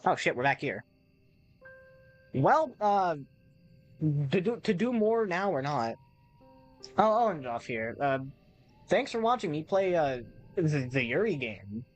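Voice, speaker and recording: Excitable swearing man gaming. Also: a little high, a little slow, long pauses, faint music behind. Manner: excitable, swears, stumbles over words. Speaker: gamer. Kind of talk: casual let's play commentary.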